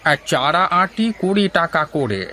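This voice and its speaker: A man's voice: a little high, sing-song, normal speed, pushed and bright, a little hiss or hum. A man voicing an earnest village vegetable seller in a cartoon, hawking his wares. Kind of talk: cartoon voice acting. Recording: music behind the voice.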